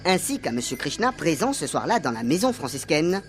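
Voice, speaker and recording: A woman's voice: sits low, big pitch swings, fairly quick. A woman narrating a comic radio serial in a theatrical, suspenseful way. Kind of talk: dramatic comic scripted narration. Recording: loud music bed behind the voice.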